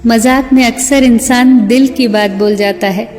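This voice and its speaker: A woman narrator reciting scripted motivational sayings in a measured, deliberate way. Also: fairly high pitch, fairly even intonation, a little mellow. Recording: long hall reverb, music bed. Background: music.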